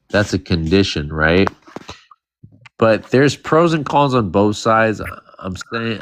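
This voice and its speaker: A man's voice: low-pitched, fairly bright tone. A man hosting a live online panel discussion, steering the talk and often checking in with "right?". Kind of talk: casual moderated discussion.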